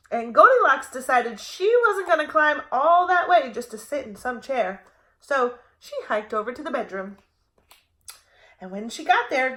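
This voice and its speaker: A woman reading a picture book aloud to preschoolers as a teacher, with an expressive, playfully wry delivery. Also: a little high. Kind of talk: animated picture-book read-aloud for young children.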